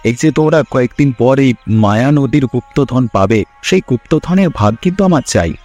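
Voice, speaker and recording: A man's voice: mid-range voice, expressive, a little fast, a little mellow, faint music behind, close to an everyday voice. A man voicing a greedy, pushy younger village schemer in a cartoon fairy tale, insistent and needling. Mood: threatening.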